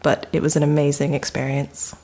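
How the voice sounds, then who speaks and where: A woman's voice: low-pitched, little pitch movement, a little fast, mellow. A woman from Canada who grew up in Britain, giving a simple personal account. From born in Canada, raised in Scotland and England. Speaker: interviewee.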